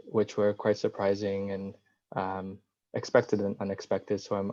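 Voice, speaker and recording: Man on a video call, mellow and very even, muffled lo-fi sound, earnest and halting. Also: lowish voice. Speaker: discussion participant. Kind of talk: casual video call remarks. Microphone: computer microphone on a video call. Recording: dull lo-fi call audio.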